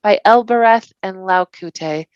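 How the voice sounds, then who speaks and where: A woman's voice: medium pitch, measured pace, dry recording, highs cut off, lightly acted. A woman voicing a small, weary but defiant hobbit hero in a storybook, with a thin, strained cry. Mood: defiant, failing.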